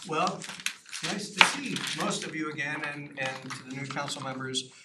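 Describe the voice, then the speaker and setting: Man explaining law to a council. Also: on the high side. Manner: plain, explanatory, unhurried. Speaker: outside attorney briefing council. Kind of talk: conversational legal briefing. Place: city council chamber. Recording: noisy council meeting audio.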